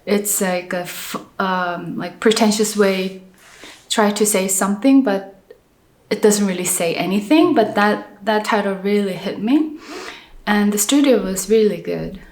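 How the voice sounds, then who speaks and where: A middle-aged Korean woman with a Korean accent, an architect talking about her life in an interview. She speaks in a halting, thoughtful way with many restarts and soft fillers. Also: medium pitch, roomy sound. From South Korea. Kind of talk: reflective interview conversation.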